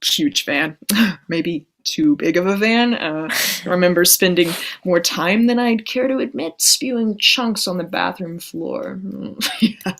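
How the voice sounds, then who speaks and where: A woman's voice: on the low side, a little slow, creaky, fairly bright tone, heavily acted. A woman on stage playing a chatty, self-mocking young woman with anxiety underneath, in naturalistic stage acting. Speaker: actor in a staged play reading.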